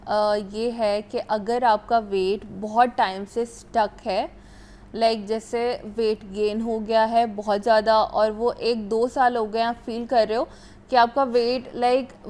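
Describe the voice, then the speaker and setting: A woman's voice: a little high, darkish tone. A woman doctor with a Pakistani Urdu accent, explaining health matters on a studio talk show and mixing English into her speech with many fillers.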